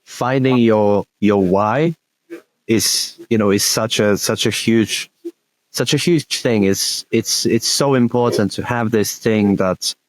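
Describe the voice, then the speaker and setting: A man's voice: fairly low pitch, takes it slowly, dry recording, low-quality recording. A man whose first language is Polish, hosting an interview in casual chat and often repeating words as he thinks. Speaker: podcast host.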